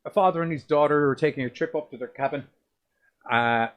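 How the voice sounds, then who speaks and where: A man, co-hosting a casual movie review podcast, rambling and swearing as he goes. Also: quick pace. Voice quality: deep voice. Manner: sweary, rambling, restarts often. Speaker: podcast co-host.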